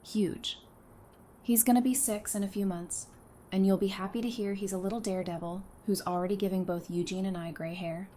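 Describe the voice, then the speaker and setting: A woman's voice: mid-range voice, steady tone, a little fast, warm tone, lightly acted. A woman acting a caring, blunt wife and mother with casual, wry banter in an audio drama. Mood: playful. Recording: noticeable background noise.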